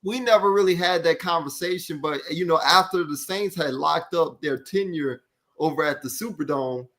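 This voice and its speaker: Bright-voiced man talking sports. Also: on the high side, moderate pace. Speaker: sports journalist and podcast panelist. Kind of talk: casual sports discussion.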